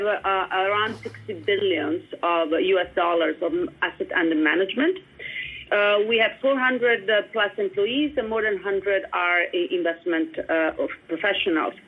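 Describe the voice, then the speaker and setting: A woman's voice: medium pitch, normal speed, slightly rough. A woman from Italy with an Italian accent, a guest investor explaining her firm in a businesslike way. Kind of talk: interview answers. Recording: tinny phone-like line.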